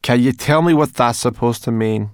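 A man's voice: medium pitch, normal speed, clear, resonant tone, dry recording, studio quality, voice pushed up, natural voice. A man voicing a wary, skeptical female brownie who grumbles her doubts.

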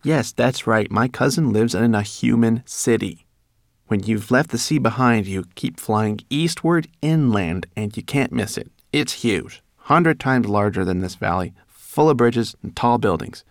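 A man's voice: mid-range voice, a little slow, no echo, clean studio sound, voice pushed up, lightly acted. A man voicing a fussy, anxious, know-it-all female rat in a storybook, speaking insistently. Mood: huffy, informative. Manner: fussy, insistent, stammering when flustered.

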